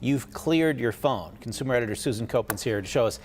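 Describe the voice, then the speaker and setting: A man anchors a newscast at a brisk clip. His pitch is mid-range, and faint noise sits behind his studio introductions and chat. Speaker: news anchor.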